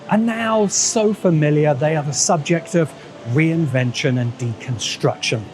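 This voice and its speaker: Male video essay host, sardonic and emphatic, slightly high-set voice, scripted commentary over a light music bed. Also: darkish tone. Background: background music.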